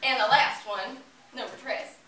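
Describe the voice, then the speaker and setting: A woman's voice: fairly high pitch, a little slow, natural voice. A young woman performing a two-faced, officious small-town church lady in a comic stage monologue, with syrupy, exaggerated theatrical delivery. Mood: pompous, officious. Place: indoor competition room, recorded from audience distance. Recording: roomy, distant mic, faint noise.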